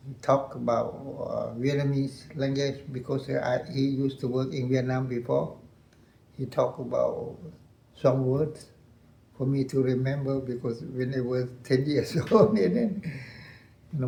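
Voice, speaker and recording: An older man with a Lao accent, a retired colonel recounting his war years in halting English, repeating phrases and laughing often. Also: medium pitch, vocal fry, mellow, echoey room, poor audio. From Laos. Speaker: interview guest, veteran colonel.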